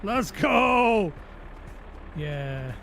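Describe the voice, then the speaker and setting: A man's voice: high-pitched, sing-song, extremely slow, creaky, a bit bright, slight background noise. A young man hosting a casual gaming chat, talking in a slangy, enthusiastic way. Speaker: interviewer and gaming host. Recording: music playing behind the voice. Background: music.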